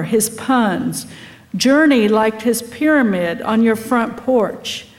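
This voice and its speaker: A woman's voice: a little high, a little mellow. A woman hosting a memorial gathering, reading a tribute and addressing those present. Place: memorial gathering at an art center.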